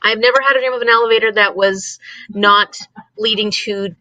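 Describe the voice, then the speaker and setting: A woman's voice: mid-range voice, steady tone, fairly quick, sharp tone, no room sound, dull audio. A giggly woman co-hosting a casual livestream chat, repeating words for emphasis. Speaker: co-host of a live reading sprint stream.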